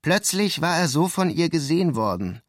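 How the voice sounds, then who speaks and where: An actor playing a weary, introspective journalist in a literary radio play, narrating in a measured, subdued way. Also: male voice, fairly high pitch, big pitch swings, no room sound, studio quality. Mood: brooding, tense. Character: brooding, weary male journalist writing a painful letter. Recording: music behind the voice.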